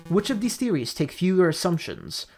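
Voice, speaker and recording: A woman voicing an arrogant male falcon defence lawyer in a video game, lecturing and deliberate in a cutting, logical way. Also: lowish voice, huge pitch swings, a little mellow, soft background music.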